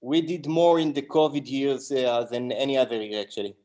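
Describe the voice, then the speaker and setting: A man's voice: mid-range voice, steady tone, fairly quick, warm tone. Israeli-accented man telling stories casually. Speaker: band musician, interview guest. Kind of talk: casual interview chat, storytelling.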